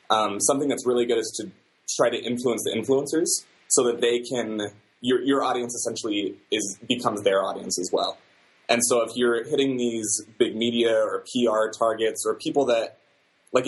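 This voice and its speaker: A man, a podcast guest, explaining marketing advice in a measured way, with fillers now and then. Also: medium pitch, rough recording.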